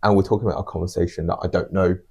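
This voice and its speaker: A man's voice: low-pitched, level tone, rapid-fire, vocal fry, dark tone, husky. A man co-hosting a podcast in casual discussion, making points with repetition and rhetorical questions. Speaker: podcast co-host.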